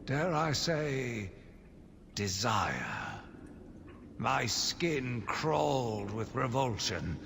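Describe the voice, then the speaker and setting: An actor playing a sinister, self-righteous madman, delivering a theatrical, deliberate villain's monologue for a video game. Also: medium pitch. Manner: theatrical, deliberate, brooding delivery with dramatic emphasis. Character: sinister, zealous, self-righteous madman obsessed with punishing monsters. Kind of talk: dramatic scripted monologue, audio journal from a video game. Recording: long reverb, clearly noisy background ambience.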